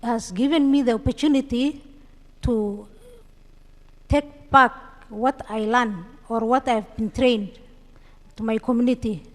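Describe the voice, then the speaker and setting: A woman with a Kenyan accent giving an unscripted panel account, halting and repeating words as she goes. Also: a little high. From Mandera, Kenya. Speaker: panelist, community digital trainer. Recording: echoey hall with long reverb.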